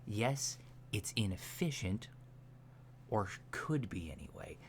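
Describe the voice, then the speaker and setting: A man playing an earnest, matter-of-fact alien instructor in human disguise, speaking softly and deadpan in an ASMR roleplay lecture. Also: lowish voice, slow pace, exaggerated voice. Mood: calm, instructive. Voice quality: soft, hushed voice. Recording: lo-fi with steady background noise.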